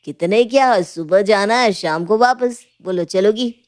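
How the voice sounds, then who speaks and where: Storybook young husband, played by a woman: relaxed attitude, playful, teasing, agreeable. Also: mid-range voice, measured pace, lightly acted. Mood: reassuring. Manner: casual, playful, reassuring.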